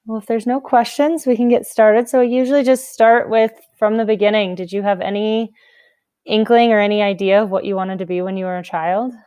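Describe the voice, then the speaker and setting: A woman's voice: mid-range voice, fairly even intonation, brisk, mellow, clean tone, no room sound, clean studio sound. Encouraging woman hosting a podcast interview. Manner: encouraging, laughs often. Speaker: podcast host.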